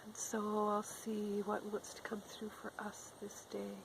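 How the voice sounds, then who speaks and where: A middle-aged woman with a cold talks softly outdoors in the woods, her voice raspy and gravelly and often dropping to a whisper. She speaks very slowly and gently, in a calm spiritual tone. Faint outdoor sounds and a crow can be heard behind her. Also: medium pitch, background noise. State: has a cold. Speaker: spiritual channeler. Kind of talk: slow, gentle spiritual talk to camera. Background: faint outdoor ambience with a crow.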